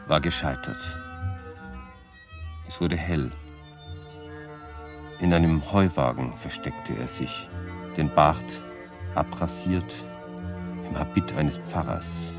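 An actor playing a solemn reciter of a poetic lament in a radio drama, speaking in spare, halting phrases. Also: male voice, rumbling low, heavily acted. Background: violin music.

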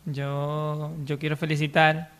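High-voiced Canarian man, halting banter. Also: monotone, fairly bright tone, a little hiss or hum. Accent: Canarian Spanish. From Canary Islands, Spain. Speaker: radio panellist. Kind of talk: casual comic banter.

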